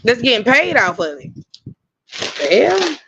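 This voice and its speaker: A woman's voice: on the high side, very animated, a little slow, highs cut off, background noise. Blunt, heated woman in casual chat. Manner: blunt, heated, swears freely. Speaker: host.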